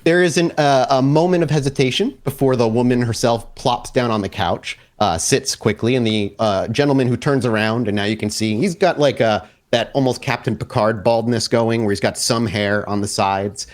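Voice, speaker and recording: Male game master narrating horror, mid-pitched. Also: moderate pace, few pauses.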